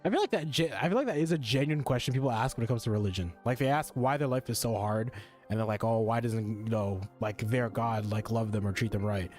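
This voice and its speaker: A quick-talking man with a mid-pitched voice gives casual playthrough commentary. He muses aloud informally as a streamer, with faint music behind him. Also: darkish tone. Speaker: game streamer.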